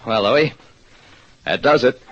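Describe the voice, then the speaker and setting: A man playing a wry, steady American private detective, narrating colloquially in 1940s radio drama style. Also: medium pitch. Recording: dull, clearly noisy old radio recording.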